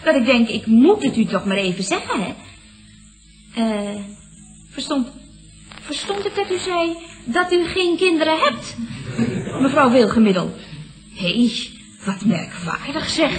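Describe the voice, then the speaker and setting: A chatty woman secretary in an old Dutch radio comedy. She speaks high and very animatedly in a Netherlands accent. Her politeness is hesitant and put on. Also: a bit bright, exaggerated voice, poor audio.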